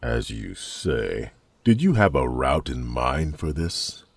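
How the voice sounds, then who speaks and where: A man playing a gruff, teasing royal knight in a fantasy audio drama, with a gravelly, raspy voice. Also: very deep voice, big pitch swings, slow, dry recording, exaggerated voice. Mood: dutiful.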